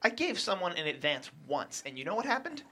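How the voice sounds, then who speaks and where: A performer playing a gruff, impatient, foul-mouthed middle-aged boss at a script read, curt and scolding. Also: medium pitch, very animated, fairly quick, sharp tone, heavily acted.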